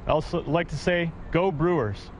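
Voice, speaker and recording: A man's voice: a little high, moderate pace, a little mellow, a little hiss or hum, poor audio. A man from Wisconsin who is a military officer, reciting a short formal greeting. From Milwaukee, Wisconsin. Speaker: soldier sending a greeting. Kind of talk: recorded shout-out message.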